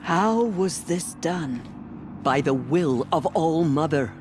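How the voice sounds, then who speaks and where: A solemn old priestess of a tribe in a video game. Her delivery is stately and very melodic, with music clearly behind it. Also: low voice, animated, takes it slowly. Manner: ceremonial, stately.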